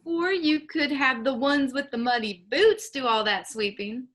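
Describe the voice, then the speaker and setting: A woman reading a patient, gently teasing grown daughter in a staged play reading. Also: high-pitched, a little slow. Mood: gentle. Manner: soft, easygoing, gently teasing.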